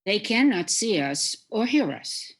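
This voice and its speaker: A woman playing a calm, gentle but commanding spirit in a radio play, with a measured, solemn delivery. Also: mid-range voice. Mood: gently probing.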